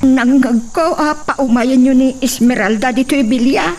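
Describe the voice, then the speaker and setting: Woman playing a timid maid, very high voice, nervous, distant mic. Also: normal speed, a little mellow. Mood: flustered. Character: timid young maid servant. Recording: from far off, faint insect noise.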